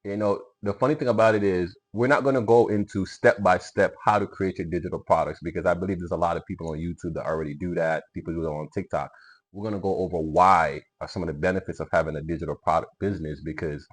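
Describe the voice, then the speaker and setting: A man's voice: sits low, steady tone, fast, breathy edge. A man co-hosting a casual chat, giving easygoing advice and speaking straight to his viewers. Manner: easygoing, agreeable, addresses viewers directly. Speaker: co-host of a business channel.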